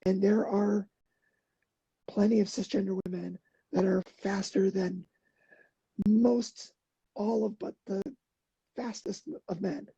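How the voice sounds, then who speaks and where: A middle-aged woman speaks earnestly in a relaxed interview, halting with fillers and restarts. Her voice is very dark and husky with gravelly fry and a slight hoarseness. The sound is dry and a little muffled. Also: medium pitch, dry recording. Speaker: podcast guest, endurance athlete. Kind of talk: casual interview talk.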